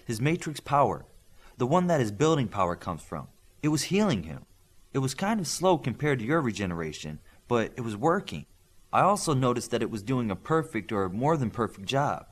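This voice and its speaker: Man voicing a brisk young woman healer, raised pitch. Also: sing-song. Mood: intrigued. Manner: brisk, matter-of-fact explaining and scolding. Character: young European female healer superhero, brisk, clinical, bossy.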